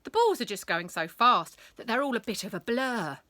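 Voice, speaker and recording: A woman's voice: a little high, expressive, normal speed, bright, forceful tone, dry recording, pitched above the performer's own voice. A woman voicing a cheeky schoolgirl trickster from a storybook, slyly polite and mock-innocent. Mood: egging on.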